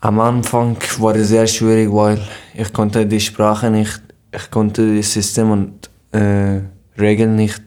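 A seventeen-year-old young man from Afghanistan, a podcast guest, speaking haltingly with frequent fillers. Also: fairly low pitch, completely flat delivery, darkish tone, clean tone. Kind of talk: casual podcast interview.

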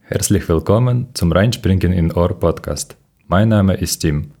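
A man from Ukraine hosts a casual podcast in learner German, steering the chat with questions. His voice is very low and he pauses now and then to find words. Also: creaky, dark tone. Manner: leads the talk, asks questions, some hesitations. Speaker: podcast host.

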